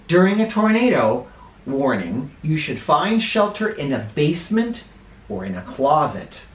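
Expressive American man reading aloud, roomy sound. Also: fairly high pitch. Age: middle-aged. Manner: expressive, dramatic, deliberate emphasis. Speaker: children's picture book reader and host. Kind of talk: read-aloud for children with spoken asides. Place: small living room, indoors. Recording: roomy, echoey living room sound.

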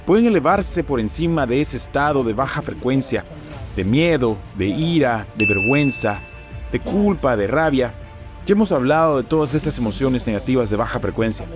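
A man's voice: medium pitch, expressive, moderate pace. A man giving a dubbed motivational speech in an earnest, emphatic tone, recorded as a studio voice-over. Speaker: motivational speaker. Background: soft background music.

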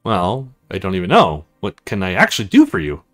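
A man's voice: low-pitched, wildly swooping pitch, a little fast, soft background music, pitched below the performer's own voice. A man voicing an awkward young male recruit in a visual novel, hesitant and grumbling to himself.

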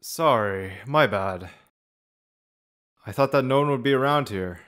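A man's voice: medium pitch, unhurried, a bit bright, close to an everyday voice. A man voicing a laid-back, handsome teenage upperclassman in a manga dub, casual and blunt. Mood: casual, surprised. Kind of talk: manga fandub acting.

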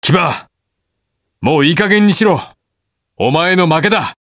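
An actor playing a stubborn, protective young masked hero in a video game, speaking curtly and brusquely. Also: male voice, medium pitch, big pitch swings, unhurried, a bit bright, no room sound, clean studio sound. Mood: defiant, fierce. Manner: curt, brusque, rough casual speech. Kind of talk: video game voice lines, battle quips.

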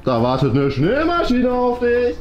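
Man, animated delivery, teasing co-host, casual game-show banter, indoor office, music behind. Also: very high voice, measured pace, lo-fi sound. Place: small indoor office studio. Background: clear background music.

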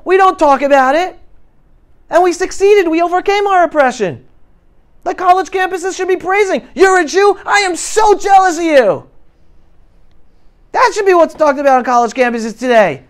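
A middle-aged man giving an impassioned, animated motivational talk to young people, with emphatic delivery. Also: extremely high pitch, moderate pace. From New York City, United States. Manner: emphatic, animated, swinging between loud and hushed. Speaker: guest speaker addressing high school students. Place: classroom, indoors. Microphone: lapel mic.

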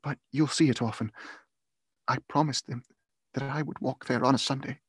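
A man's voice: medium pitch, steady tone, moderate pace, slightly rough, no echo, clean studio sound, voice pushed up. A man playing a tender, grieving poor father. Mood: gentle, wistful. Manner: earnest, emotional, gently rambling. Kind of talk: storybook character voice in audiobook.

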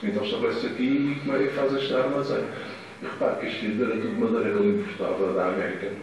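A man's voice: mid-range voice, level tone, fast talker, far from the mic. A man plainly explaining his routine as an interviewee in a radio feature. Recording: playback echoing in a hall, loud crowd.

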